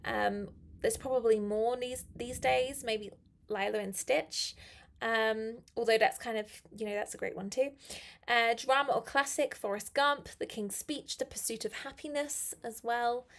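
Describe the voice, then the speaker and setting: A young woman leads a live lesson, her voice a touch croaky and pitched a little high. She talks in a friendly, chatty way, with fillers, and slows down to stress the key phrases. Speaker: English teacher. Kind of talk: live-stream English lesson.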